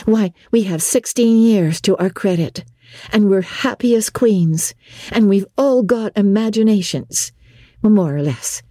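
A woman's voice: mid-range voice, a little slow, warm tone, dry recording, studio quality, voice pushed up, natural voice. A woman voicing an earnest, dreamy sixteen-year-old schoolgirl with a breathless, emphatic way of talking, in a storybook reading. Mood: staunch, rapturous.

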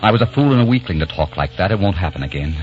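A man's voice: deep voice, expressive, very fast. A man acting a modern-day hero trapped in the eighteenth century, who turns to scornful ranting in a 1940s radio drama. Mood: tender. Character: modern man trapped in the 1700s, bitter, passionate. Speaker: leading man and narrator. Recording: dull old radio broadcast with music.

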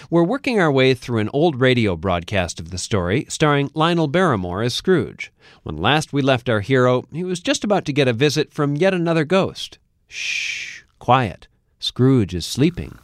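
A man's voice: mid-range voice, very animated, normal speed, dry recording, clean studio sound. A man hosting a public radio show with scripted presenting. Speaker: radio host. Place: dry studio.

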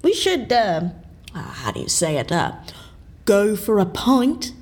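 A woman's voice: medium pitch, big pitch swings, measured pace, a little mellow. A woman playing a brusque, evasive CIA agent in an audio drama, with a dry, blunt delivery. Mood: guarded.

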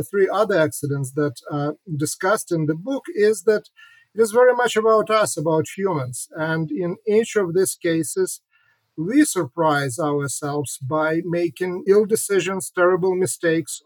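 A middle-aged man from Ukraine, speaking in a fairly high voice. He answers reflectively and haltingly, often repeating words and filling pauses with "uh". The sound is dry and close. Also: dry recording, poor audio. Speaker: historian and author, guest.

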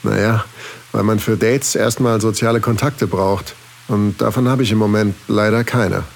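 A very low, creaky male voice playing a flirtatious single father in an erotic audio drama. He is intimate and teasing with a touch of hesitation, over a faint hiss. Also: steady tone, normal speed. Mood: casual, slightly awkward. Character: flirtatious single father narrating his inner thoughts.

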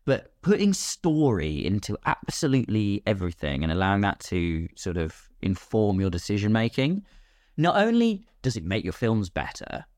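A man's voice: lowish voice, very animated, normal speed, darkish tone, no echo, studio quality. A British man talking casually as a podcast guest, explaining his craft and acting out imagined questions as he goes. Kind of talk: casual conversational explanation of filmmaking.

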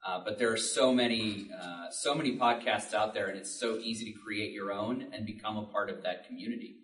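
A man speaking in a panel discussion with halting, thoughtful phrasing, recorded in a library room. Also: lowish voice, fairly bright tone. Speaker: audio drama creator on a panel. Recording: echoey room, distant mic.

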